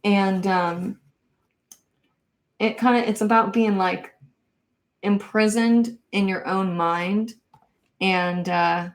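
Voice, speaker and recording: A young woman from Kansas chats with her livestream viewers in a soft, unhurried voice of middling pitch. She hesitates often, filling the gaps with ums and likes as she thinks aloud. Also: fairly even intonation. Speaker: livestream host. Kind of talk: casual livestream chat, reading viewer comments.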